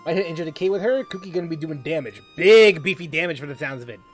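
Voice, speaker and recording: A man with a high-pitched voice talks casually as he streams a game. His delivery is halting, with phrases often repeated or started over. Music plays behind him. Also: expressive. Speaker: livestream host, gamer. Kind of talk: casual livestream chat while gaming.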